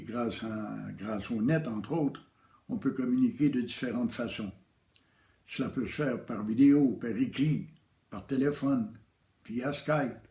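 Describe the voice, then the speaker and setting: A man giving a calm, measured spiritual teaching, repeating key phrases. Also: medium pitch. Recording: roomy echo.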